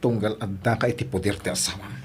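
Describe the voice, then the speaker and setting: A man's voice: on the low side, steady tone, fast talker, a little hiss or hum, close to an everyday voice. A man with an Ilocano accent acting a hurt, demanding lover of a married woman in a radio drama. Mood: insistent, hurt.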